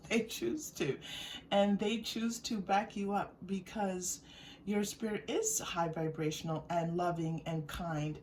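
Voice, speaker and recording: A woman's voice: medium pitch, darkish tone. Woman tarot reader, distant mic, faint noise. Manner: halting, searching phrasing with repeated words. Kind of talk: unscripted intuitive tarot reading addressed to viewers.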